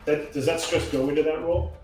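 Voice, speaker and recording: A man's voice: a little high, fairly even intonation, brisk, a little mellow. A man chatting casually as a player in a tabletop roleplaying game, hesitant and thinking aloud. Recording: very echoey room, music behind.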